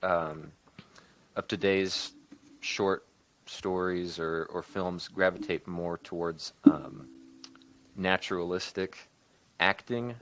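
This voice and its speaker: Very low-voiced man in thoughtful discussion. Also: steady tone, takes it slowly, long pauses, a bit bright, slight background noise, low-quality recording. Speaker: podcast guest, co-producer and writer. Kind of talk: casual discussion.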